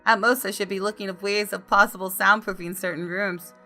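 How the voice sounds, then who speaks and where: A woman reading a visual novel aloud as expressive first-person narration. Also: medium pitch, fairly quick, soft background music. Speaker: gaming video host reading aloud.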